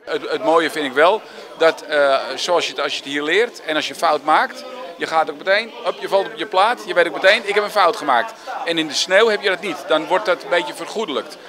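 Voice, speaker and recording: A man's voice: fairly high pitch, a little fast. Casual man, crowd behind. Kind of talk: interview.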